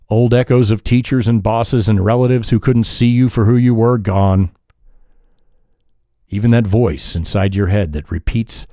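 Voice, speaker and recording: A man hosting a solo podcast, talking in a calm, measured, reflective way, sometimes pausing or correcting himself mid-sentence. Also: lowish voice, dry recording, clean studio sound. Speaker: podcast host.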